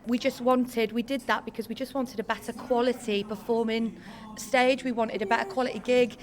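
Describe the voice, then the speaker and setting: A woman's voice: on the high side, fairly even intonation, brisk. A woman who sings in a duo, answering interview questions in a gushing, eager way. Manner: gushing, eager, laughs often. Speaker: singer in a duo, award winner.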